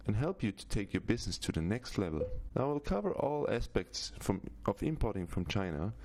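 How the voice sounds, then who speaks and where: Gravelly Austrian-accented man, lively pitch. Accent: Austrian German. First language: German. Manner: steady, list-like delivery with frequent "Now" openers. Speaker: course instructor. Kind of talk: scripted course introduction.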